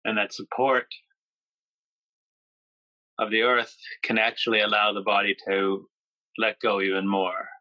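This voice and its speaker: A man guiding a yoga nidra meditation, speaking slowly and gently with pauses between phrases. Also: lowish voice, flat delivery, dry recording, dull audio. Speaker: meditation guide.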